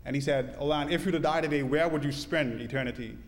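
A man's voice: a little high, fast talker. A man in his early twenties with a Bahamian accent, speaking to a congregation as interpreter and testimony-giver. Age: early 20s. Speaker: church interpreter and guest preacher. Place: church hall. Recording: echoey church hall, long reverb.

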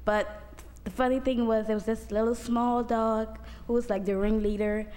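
A woman's voice: fairly high pitch, little pitch movement, normal speed, a little mellow. A young woman telling her church group a casual story as a student testimony, chatty and full of fillers. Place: church hall. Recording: long hall reverb, faint noise.

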